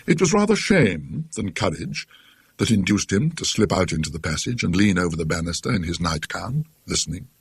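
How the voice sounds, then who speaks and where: A British man with a husky voice narrating a classic ghost story in a scholarly, dryly witty, deliberate manner. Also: low-pitched, very animated, dry recording.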